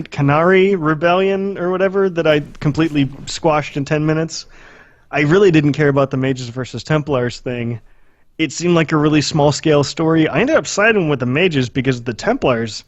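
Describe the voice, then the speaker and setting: A man's voice: on the high side, dry recording, dull audio. A man co-hosting a podcast, chatting casually about video games in a blunt, sweary, exaggerating way. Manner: blunt, profane, hyperbolic. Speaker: podcast host. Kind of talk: casual video game discussion.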